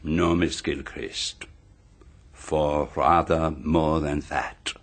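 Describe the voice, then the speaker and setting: An actor playing a shrewd, composed detective in a radio drama, deliberate and precise, with dramatic pauses. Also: male voice, very deep voice, fairly even intonation, heavily acted. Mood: measured, accusing. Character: shrewd, composed male detective laying out a murder case.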